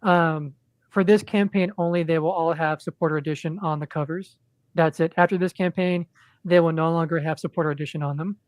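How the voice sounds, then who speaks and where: Man, podcast guest, monotone, rambling, lots of ums, dry close sound. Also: a little high, brisk, no room sound. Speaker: podcast guest, indie comic creator. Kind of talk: casual podcast chat.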